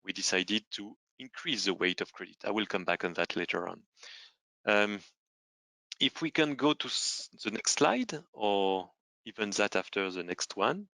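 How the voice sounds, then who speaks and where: Bright-voiced man presenting, dry close sound. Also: fairly low pitch, expressive, moderate pace, no echo, poor audio. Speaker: fund manager. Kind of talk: explanatory slide presentation.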